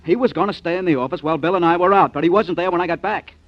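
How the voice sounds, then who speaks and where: An actor playing a worried airline partner, talking in an urgent, pleading way in 1940s radio acting style. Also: male voice, sits high, very fast, dry recording. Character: worried nervous airline partner, adult man. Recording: dull lo-fi old radio recording.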